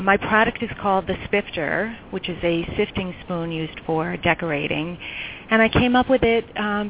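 Low-voiced woman on a phone line. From New York. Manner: chatty storytelling with many ums. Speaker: guest entrepreneur. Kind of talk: round-table interview. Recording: tinny phone line with faint noise.

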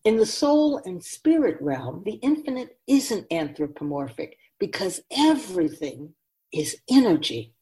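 A woman voicing a wise, ancient spirit who keeps souls, teaching patiently and deliberately in a scripted radio drama. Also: medium pitch, big pitch swings, mellow. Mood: patient, explaining. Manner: measured, deliberate, didactic, solemn.

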